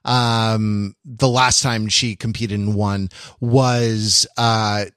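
A man co-hosting a podcast, chatting casually in animated, rambling explanations. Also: on the low side, drawn-out words, clean tone, no echo, studio quality. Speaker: podcast co-host. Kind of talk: casual panel discussion.

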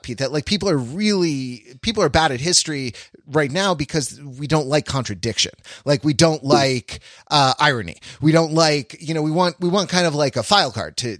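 A man co-hosting a podcast, thinking aloud in casual analytical discussion, haltingly searching for words and repeating phrases. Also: on the high side, fairly bright tone, no room sound, clean studio sound. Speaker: podcast co-host.

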